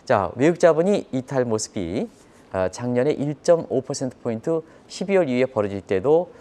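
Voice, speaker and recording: A man delivers economic commentary for a live broadcast. His voice is mellow and relaxed in timbre, yet his intonation is very lively. He explains things emphatically. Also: medium pitch, moderate pace. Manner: emphatic, explanatory, poses rhetorical questions.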